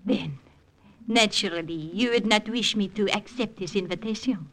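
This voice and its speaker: An alluring Frenchwoman from a golden-age radio play, played by an actress. She speaks with a French accent at a middling pitch. Her delivery is coy, measured and coolly sardonic, teasing with every polite phrase. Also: lightly acted. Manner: coy, measured, gently mocking. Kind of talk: 1940s-50s radio drama acting.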